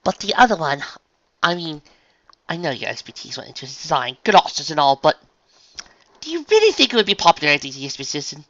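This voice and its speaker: Man putting on a dramatic, high-swooping lady unicorn. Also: big pitch swings, fairly quick, sharp tone, dry recording, highs cut off. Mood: businesslike, pleading. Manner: prim, effusive, theatrical. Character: refined, excitable, dramatic female unicorn dressmaker.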